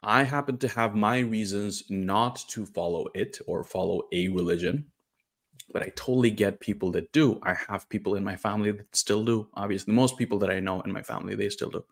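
Candid man from India, low voice. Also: fairly quick, vocal fry. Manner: candid, self-correcting, animated. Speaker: vlogger. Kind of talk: personal storytelling to camera.

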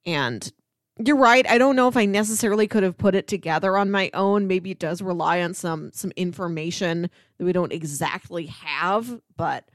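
A woman's voice: medium pitch, no echo, clean studio sound. A woman co-hosting a casual TV review discussion, recounting scenes and voicing what the characters think. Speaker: podcast co-host.

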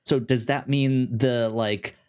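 A man's voice: mid-range voice, steady tone, slow pace, darkish tone, clean tone. A man co-hosting a podcast in casual chat, rambling with frequent restarts and stammers. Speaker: podcast co-host.

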